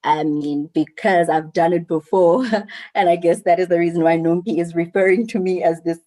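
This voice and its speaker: A woman from South Africa joins an online panel, talking in a low, mellow voice. She is earnest and thoughtful, stumbling over repeated words as she works out her ideas. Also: fairly even intonation, normal speed. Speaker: panel guest. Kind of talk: casual online panel chat.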